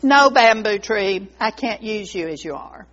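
A woman's voice: medium pitch, expressive, a little slow, a bit bright. Woman as firm, insistent garden master. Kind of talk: storybook character voice. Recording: dull lo-fi recording.

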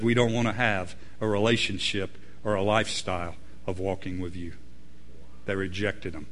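Animated preaching man. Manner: animated preaching, swings from loud emphatic peaks to low quiet asides. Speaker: pastor. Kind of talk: sermon.

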